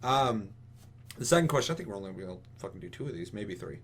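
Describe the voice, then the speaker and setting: A man's voice: on the low side, expressive, fast talker, breathy edge. A man co-hosting a casual gaming talk show, ranting with strong opinions in an emphatic, rambling, swear-heavy way. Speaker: podcast co-host.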